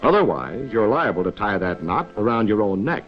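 Wry american guy narrating an old 40s training film, swoopy pitch, scolding the characters, muffled film sound. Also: big pitch swings, normal speed. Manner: wry, chiding, rhetorical. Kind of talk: 1940s instructional film narration, addressing characters directly. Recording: dull 1940s film soundtrack, music.

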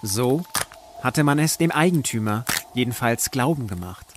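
A man reading scripted literary narration for an audio drama in a measured, deliberate storytelling manner. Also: medium pitch, expressive, noisy background.

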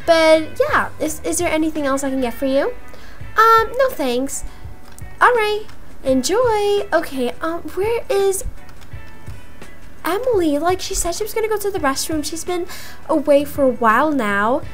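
High-pitched, animated young woman. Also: a little slow. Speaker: gaming video creator voicing roleplay characters. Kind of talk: improvised Roblox roleplay dialogue. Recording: music behind the voice. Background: music.

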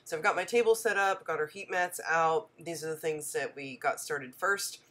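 Woman, low voice, stuffy nose from a cold, chatty voice-over, frequent ums. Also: moderate pace, fairly bright tone. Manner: chatty, friendly, many ums. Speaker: homestead vlogger. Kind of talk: casual voice-over narration.

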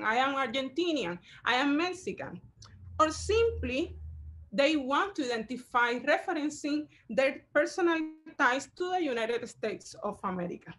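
A woman, a curator giving a measured online lecture over slides, pausing often with fillers. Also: high voice, fairly bright tone. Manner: measured, halting, with frequent fillers.

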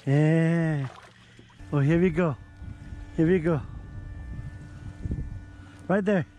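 A middle-aged man chatting excitedly while fishing from a small boat outdoors, whooping and laughing at each catch, recorded on an action camera. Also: a little high, drawn-out words, soft background music. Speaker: fishing vlogger.

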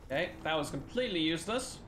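A man's voice: fairly high pitch, animated, fast, background noise. A young man from Denmark giving rambling, casual commentary while streaming a game, swearing now and then. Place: small room at home. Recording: roomy sound with faint game music.

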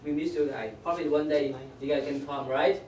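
A man's voice: on the high side, fairly even intonation, brisk, darkish tone, slight background noise. A middle-aged man teaching a youth class, speaking in simple broken English and prodding the students to speak up. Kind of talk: classroom roll call. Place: small indoor classroom. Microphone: far camera at the back of the room. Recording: echoey room from far off. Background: teenagers chattering.

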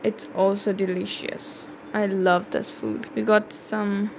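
A woman's voice: mid-range voice, little pitch movement, takes it slowly, gravelly, a little hiss or hum, low-quality recording. A young woman narrating a cheerful vlog, mixing English with Hindi-Urdu phrases. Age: young adult. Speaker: vlogger. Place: outdoors in village fields and in a restaurant. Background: music under the voice.

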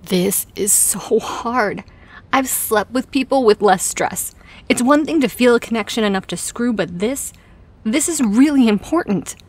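A woman's voice: fairly high pitch, animated, unhurried. A woman playing a frank, dry-humoured boss in an audio drama, speaking in a casual, candid way. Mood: earnest. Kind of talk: audio drama acting, conversational.

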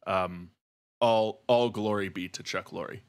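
A man's voice: on the low side, steady tone, slow, clean tone. A man co-hosting a podcast, talking in a mock-earnest conversational style. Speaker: podcast co-host.

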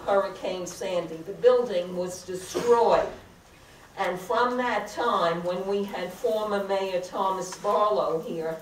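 Older woman at a council podium, lowish voice, slow and halting, full of "uh"s, recorded from far back in an echoey chamber. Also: darkish tone, slight background noise. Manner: deliberate, halting with frequent "uh" fillers. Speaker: resident speaking at public comment. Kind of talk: public comment at a council meeting. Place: large crowded council chamber. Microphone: podium microphone, heard from a far camera.

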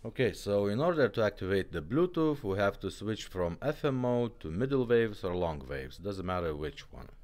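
Low-voiced man demoing a product casually. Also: sing-song, moderate pace, few pauses, darkish tone. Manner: informal, non-native phrasing. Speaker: presenter demonstrating restored car radios.

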